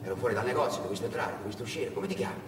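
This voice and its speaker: A man playing a tall, thin street stranger who speaks quietly and coaxingly in a stage drama. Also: fairly low pitch, very fast, voice pushed down, close to an everyday voice. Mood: consoling, probing. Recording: echoey hall from far off, noisy.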